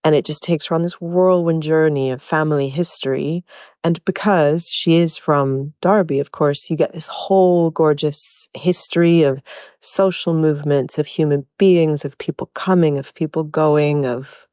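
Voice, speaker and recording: A woman from Hungary, a native Hungarian speaker, explaining her role in an interview with a thoughtful, measured manner. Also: deep voice, steady tone, mellow, dry recording, clean studio sound. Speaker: actor. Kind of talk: interview answers.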